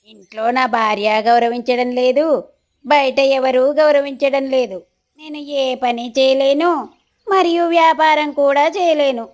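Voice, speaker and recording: Woman acting cartoon crow husband, high voice, whiny, scheming, melodramatic, children's story. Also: fairly even intonation, unhurried. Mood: despairing. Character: lazy, self-pitying, scheming crow husband, dramatic.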